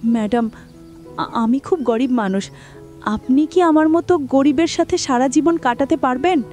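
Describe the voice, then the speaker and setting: A woman's voice: high voice, normal speed, a little mellow, lightly acted. A woman voicing a humble, poor young man, hesitant and deferential, in an audio story. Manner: hesitant, meek. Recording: music bed under the voice.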